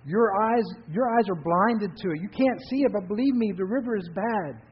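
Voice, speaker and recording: A man's voice: sits high, expressive, moderate pace, slightly rough, voice pushed up. A man voicing people on a riverbank who urgently warn and insist. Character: urgent, warning people on a high bank. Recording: dull lo-fi recording.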